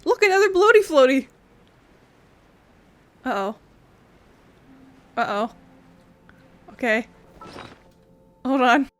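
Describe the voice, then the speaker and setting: A woman's voice: sits high, big pitch swings, slow pace, long pauses. A woman doing casual let's play commentary, playful and often muttering to herself as she plays. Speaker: gaming streamer.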